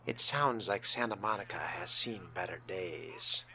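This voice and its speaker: Low, creaky man role-playing a courteous vampire. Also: measured pace, bright, forceful tone, slight background noise. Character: cool, courteous young male vampire fledgling. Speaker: player voicing his vampire character. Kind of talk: in-character role-play of a video game.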